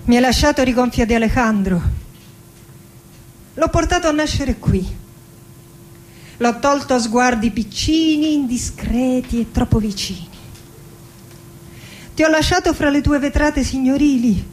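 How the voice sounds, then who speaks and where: A woman's voice: sits high, a little slow, long pauses, slight background noise, poor audio. An actress playing a proud, passionate, defiant woman poet from Argentina in a theatrical monologue, declaiming dramatically and lingering on her words. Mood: bitter, tender. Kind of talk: theatrical poetic monologue with music. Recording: voice over live musical accompaniment. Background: music with accordion and guitar.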